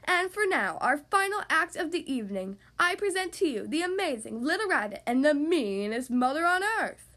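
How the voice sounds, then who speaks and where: Woman doing a showy, animated circus ringmaster. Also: sits high, moderate pace. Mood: grandiose. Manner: commanding, grandiose announcing. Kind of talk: children's storybook read-aloud.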